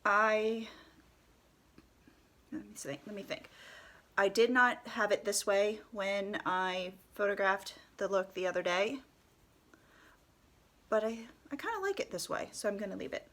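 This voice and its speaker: A woman with a stuffy nose from a cold, calmly talking through a step-by-step tutorial and often dropping to a soft whisper. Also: medium pitch. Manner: calm, unhurried, often drops to a soft whisper. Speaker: head wrap tutorial host.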